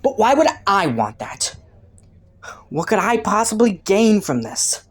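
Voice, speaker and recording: A woman's voice: medium pitch, big pitch swings, measured pace. A woman voicing a clever, flirty underworld rogue in an audio roleplay, teasing and taunting a detective with sardonic, sultry playfulness. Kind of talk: roleplay audio drama, intimate monologue to the listener.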